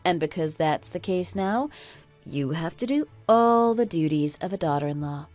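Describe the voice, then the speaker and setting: A woman playing a fed-up, sharp-tongued married woman in a radio drama, speaking in an emphatic, scornful, lecturing manner. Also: fairly low pitch, lively intonation, normal speed, faint music behind, close to an everyday voice. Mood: scornful, triumphant. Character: fed-up, sharp-tongued oldest daughter-in-law, a divorcing breadwinner. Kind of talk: scripted radio drama acting.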